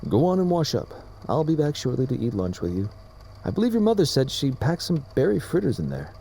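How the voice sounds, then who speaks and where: A man playing a gentle, loving father pony in an audio drama, speaking softly with a gravelly, sometimes hushed voice. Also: medium pitch, sing-song, a little fast, exaggerated voice. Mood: warm, caring. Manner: soft, tender, fatherly, often hushed.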